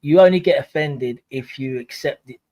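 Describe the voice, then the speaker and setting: A man's voice: medium pitch, moderate pace, mellow, no room sound. A man in his forties, giving life advice in a casual livestream chat, preachy and fond of sayings. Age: 40s. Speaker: guest on a livestream.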